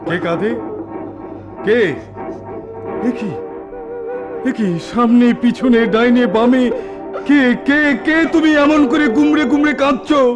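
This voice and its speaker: Tyrannical landlord lord: melodramatic, slow declamation, high-pitched, repeats himself, for radio drama. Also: male voice. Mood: horrified. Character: tyrannical landlord raja, haughty, raging, haunted by terror. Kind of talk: theatrical radio drama acting.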